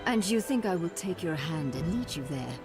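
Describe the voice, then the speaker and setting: A woman's voice: on the low side, lively intonation, normal speed. An actress playing a proud, bitter, street-wise rebel woman in a fantasy game, cutting and commanding in her delivery. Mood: scornful. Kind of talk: dramatic fantasy game voice acting. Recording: music behind the voice.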